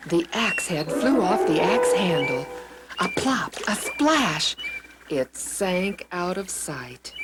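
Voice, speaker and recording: A woman narrating children's Bible stories in an expressive, deliberate storybook style with dramatic emphasis on words. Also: lowish voice, very noisy.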